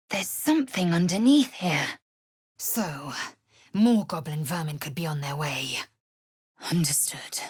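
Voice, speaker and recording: A woman voicing a fierce, proud alien warrior woman in a video game, scornful and haughty, with clipped, formal phrasing and a husky, breathy voice. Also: lowish voice, a little mellow, no room sound, clean studio sound. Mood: measured, proud. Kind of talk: video game character voice lines.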